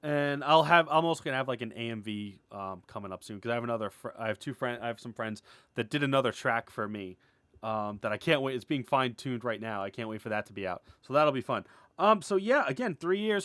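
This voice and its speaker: Rambling, excitable man in a dry-sounding recording. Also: mid-range voice, no room sound. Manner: rambling, excitable, with stop-and-start phrasing. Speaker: animator and web series creator giving an update. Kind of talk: casual unscripted update vlog.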